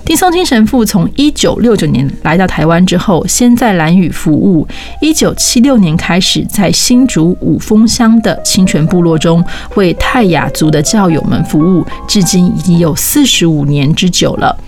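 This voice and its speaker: A woman's voice: fairly low pitch, animated, normal speed, few pauses, darkish tone. A woman with a Taiwanese Mandarin accent presenting a scripted introduction as the host of a program. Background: music behind the voice.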